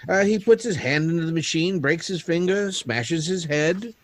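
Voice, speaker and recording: An actor plays a coolly detached male scientist in a vintage-style radio play. His intonation is lively and his sound dry, with a matter-of-fact, slightly condescending manner. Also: fairly high pitch, moderate pace, dry recording, lightly acted. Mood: clinical. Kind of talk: recreated 1930s radio drama.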